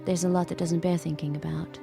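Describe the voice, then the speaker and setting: A woman's voice: lowish voice, level tone, brisk, vocal fry. An actress playing a subdued handmaid and grieving mother, reflective when narrating and hesitant and guarded when she speaks. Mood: sombre, reflective. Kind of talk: audio drama acting with intimate first-person narration. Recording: music behind the voice.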